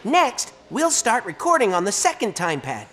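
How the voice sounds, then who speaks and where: An actor playing a small, earnest robot helper in a game, giving courteous step-by-step instructions. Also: male voice, high-pitched, wildly swooping pitch, moderate pace, fairly bright tone, a little hiss or hum, full character voice. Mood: patient instruction. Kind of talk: game tutorial acting.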